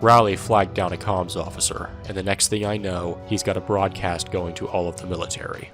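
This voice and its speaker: A world-weary soldier narrating an audio drama in the first person. His voice is low, with a dry, irreverent, sardonic delivery. Music sits underneath. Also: little pitch movement, a little fast, fairly bright tone. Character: wry, world-weary male soldier recounting his war.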